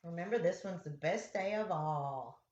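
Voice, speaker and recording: A woman reading picture books aloud to young children as a storyteller, with deliberate, simple phrasing. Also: fairly low pitch, darkish tone. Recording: roomy, echoey, dull lo-fi sound.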